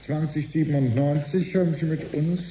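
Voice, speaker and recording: An actor playing a controlled, probing corporate official in a radio drama, formal and deliberate. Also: male voice, fairly high pitch, steady tone, mellow, slight background noise, natural voice. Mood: coolly probing. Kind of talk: German radio drama acting. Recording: roomy interior with faint ambience.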